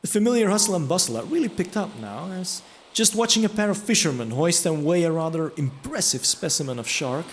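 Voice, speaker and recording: A man's voice: on the high side, lively intonation, fairly quick, slight background noise. A man narrating a first-person fantasy audio drama in a reflective storytelling manner. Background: music.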